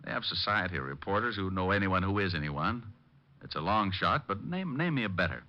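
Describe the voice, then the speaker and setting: An actor playing a cocky, easygoing American private detective with dry sarcasm, in old radio drama style. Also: male voice, sits low, big pitch swings, a little fast. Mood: matter-of-fact. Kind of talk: 1950s radio detective acting. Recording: dull vintage radio recording.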